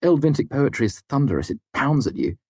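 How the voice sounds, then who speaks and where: Man acting an earnest young male student, raised pitch. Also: big pitch swings, brisk, a little mellow, husky, no room sound. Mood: absorbed. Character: earnest, gloomy young male student, absent-minded poetry lover.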